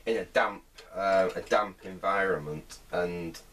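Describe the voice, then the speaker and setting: Deep-voiced middle-aged man. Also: a little slow, fairly bright tone, slight background noise. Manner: hesitant, thinking aloud while working. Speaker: vintage radio restorer, presenter. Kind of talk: casual hands-on repair commentary. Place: indoor workbench.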